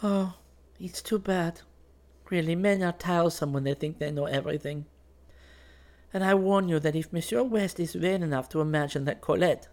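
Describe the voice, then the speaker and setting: A man's voice: on the high side, moderate pace, darkish tone, voice pushed up, lightly acted. A man voicing an anxious, affectionate young woman who pleads tenderly with her lover in an audiobook. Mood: bright, teasing. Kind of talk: audiobook character dialogue.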